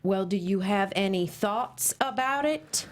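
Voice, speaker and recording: A woman's voice: medium pitch, animated, unhurried, lightly acted. An actress playing a bossy middle-aged mother at a table read, prim and scolding, swapping swear words for tame ones. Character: bossy middle-aged Midwestern mom, Sally.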